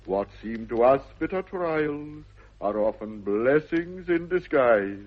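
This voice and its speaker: A man's voice: medium pitch, animated, takes it slowly. A man playing a pompous, benign English country clergyman with an unctuous, sententious manner in a radio comedy. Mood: benign, pious. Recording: dull 1960s radio recording.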